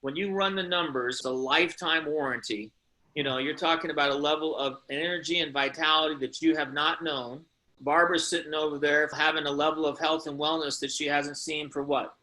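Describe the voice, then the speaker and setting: A man hosting a conference call, giving an emphatic, earnest and preachy motivational sales pitch and putting questions to his listeners. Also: steady tone, fairly quick, pushed and bright, low-quality recording.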